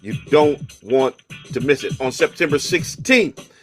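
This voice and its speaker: A man's voice: medium pitch, expressive, soft background music. A man hosting a casual radio talk show, joking with his co-host and reading church announcements in a loose, playful way. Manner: loose, joking, drawn-out sign-offs.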